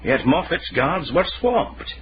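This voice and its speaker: A man's voice: fairly high pitch, very animated, takes it slowly. A man playing an irritable, haughty eighteenth-century Lord Provost in a radio drama, curt, sardonic and authoritative. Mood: irritated, guarded. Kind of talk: radio detective drama acting. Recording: muffled lo-fi radio drama, background noise.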